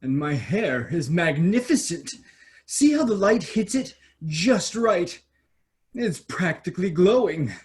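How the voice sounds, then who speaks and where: A man's voice: high-pitched, very animated, takes it slowly, exaggerated voice. A man playing a vain, self-admiring young prince in a children's comedy play, speaking in a pompous, languid way. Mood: smugly self-admiring. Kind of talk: staged reading of children's theater comedy.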